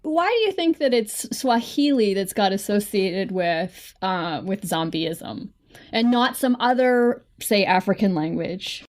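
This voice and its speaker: A woman co-hosting a podcast, asking her guest questions in a measured, inquisitive way. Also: mid-range voice, animated. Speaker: podcast co-host. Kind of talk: casual interview questions.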